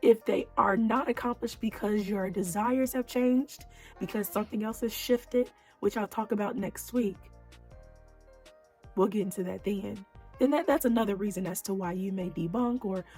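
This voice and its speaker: A woman psychologist hosting her own channel, talking straight to her viewers in a casual, encouraging way, her voice a little hoarse. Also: mid-range voice, normal speed, a little mellow, faint music behind. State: slightly hoarse. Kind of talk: casual talk to camera.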